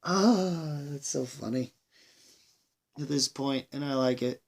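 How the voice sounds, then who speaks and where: A man giving a casual movie review monologue, rambling and halting, with enthusiastic delivery and plenty of swearing. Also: medium pitch, vocal fry. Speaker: film reviewer, channel host.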